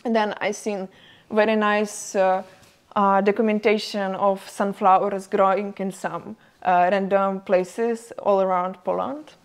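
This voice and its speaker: A young woman with as her giving a university lecture in halting English, with many fillers. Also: mid-range voice, flat delivery, clean tone. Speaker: guest lecturer. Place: lecture room.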